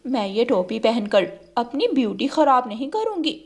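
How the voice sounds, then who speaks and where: High-pitched vain sassy lady bird played by a woman. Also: sing-song, normal speed, breathy edge, full character voice. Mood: haughty. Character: vain, sassy female bird who dodges chores. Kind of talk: children's cartoon dialogue.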